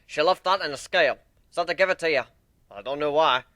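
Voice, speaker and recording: A man voicing a gruff, blunt minotaur crewmate in a story. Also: fairly high pitch, fairly quick, sharp tone. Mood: puzzled.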